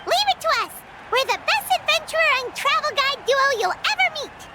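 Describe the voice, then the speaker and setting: Squeaky, extremely high-pitched fairy girl. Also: normal speed, background noise. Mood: eager, curious. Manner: childlike, excitable, refers to herself in third person. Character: tiny floating fairy girl guide, perky, chatty, self-important.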